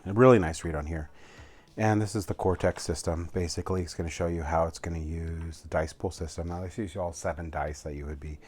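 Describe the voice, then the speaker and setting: Deep-voiced man giving a casual walkthrough. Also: fairly even intonation, a little mellow. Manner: halting, often correcting himself mid-sentence. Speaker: tabletop game reviewer. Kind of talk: casual unscripted product walkthrough.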